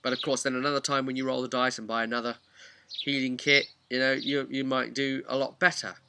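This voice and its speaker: A man giving an informal, animated, chatty explanation of tabletop roleplaying rules. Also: mid-range voice, brisk, slight background noise. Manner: animated, chatty, acts out imagined player remarks. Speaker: tabletop game master giving advice.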